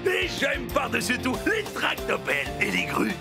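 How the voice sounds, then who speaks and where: Boastful young cartoon digger, high and very animated, exuberant drawn-out cries, music behind. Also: male voice, creaky, heavily acted. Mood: gushing. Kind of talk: cartoon dub.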